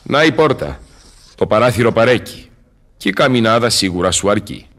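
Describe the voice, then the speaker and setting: A world-weary old scholar from a radio play, with a low voice. He declaims his verse gravely, bitter yet commanding. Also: animated, fairly bright tone. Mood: commanding, curious. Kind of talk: Greek radio theatre verse acting.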